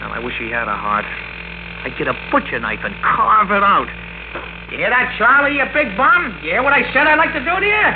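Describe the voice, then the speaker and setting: An animated, griping, hot-headed working man. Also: high voice, fairly quick, narrow, tinny audio. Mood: bitter, exasperated. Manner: blunt, slangy, griping. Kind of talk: old-time radio drama acting. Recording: noisy, band-limited old radio recording.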